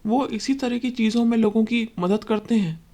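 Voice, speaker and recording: A woman's voice: fairly high pitch, normal speed, mellow. A woman working as a storyteller, delivering scripted dramatic narration.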